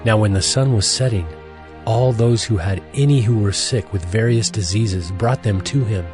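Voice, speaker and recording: Low-pitched man narrating scripture. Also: over music. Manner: measured, formal reading.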